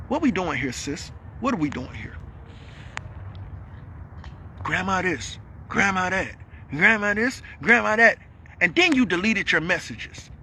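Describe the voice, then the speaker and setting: A man with a high, bright voice whose pitch leaps all over as he rants to his viewers. He repeats his points and breaks into shouts, a faint background hum behind him. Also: unhurried, pauses often. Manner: animated, repetitive, swings to shouting. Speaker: vlogger calling out a rival. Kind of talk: heated monologue.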